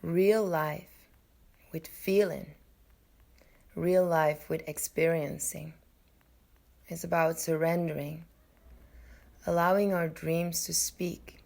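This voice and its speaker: Very slow, low-pitched woman guiding meditation. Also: fairly even intonation, gravelly, darkish tone. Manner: soothing, unhurried, with long pauses between short phrases. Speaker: podcast host and yoga nidra guide.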